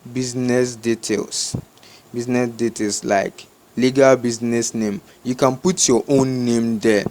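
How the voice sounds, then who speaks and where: A man with a Ghanaian accent gives unscripted step-by-step instructions in a halting, repetitive way, as a tutorial host. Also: mid-range voice, a little mellow.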